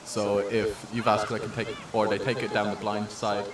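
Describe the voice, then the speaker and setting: A man's voice: on the low side, level tone, moderate pace. A man as a co-commentator at a live match, giving short plain explanations of the rules. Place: outdoors at a sports field. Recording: distant echoey outdoor sound with clear noise.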